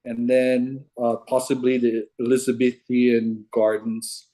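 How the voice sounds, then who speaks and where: Slow, halting man on a video call. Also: medium pitch, level tone, mellow. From Hawaii. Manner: unhurried, halting, restarts often. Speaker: caller sharing puzzle theories. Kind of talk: casual video call chat. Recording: video call audio, breaking up.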